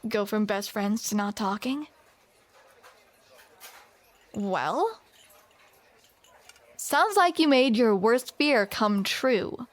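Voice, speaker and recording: A woman's voice: on the high side, expressive, drawn-out words, pauses often. A woman performing a scripted romantic audio roleplay, with playful, teasing banter, close to the microphone.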